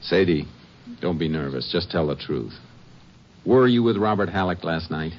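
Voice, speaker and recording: An American man acting a steady, no-nonsense Texas Ranger investigator in a 1950s radio crime drama, terse, measured and authoritative. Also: low-pitched. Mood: firm, probing. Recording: dull old radio recording with music.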